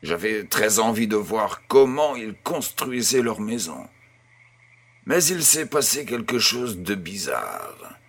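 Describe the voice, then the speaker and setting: A man playing a kindly grandfather who tells a bedtime tale in a measured, gentle, husky voice. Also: fairly low pitch, exaggerated voice. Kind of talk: scripted audio storytelling.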